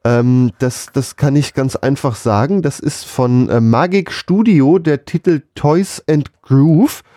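A man's voice: medium pitch, takes it slowly, dark tone, dry recording, studio quality. A man chatting casually and jokingly as a podcast co-host. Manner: joking, playful. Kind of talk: casual comedic podcast banter.

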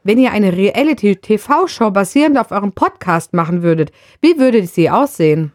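A woman's voice: mid-range voice, lively intonation, normal speed, a little mellow, no room sound, clean studio sound. A woman reading out scripted questions for a podcast. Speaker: question reader.

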